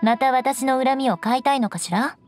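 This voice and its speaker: A woman's voice: high voice, moderate pace, close to an everyday voice. A woman voicing a proud, aloof young noblewoman knight in an anime game, with composed, elegant, haughty phrasing. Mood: amused, haughty. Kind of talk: Japanese anime game voice acting. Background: orchestral game music with strings.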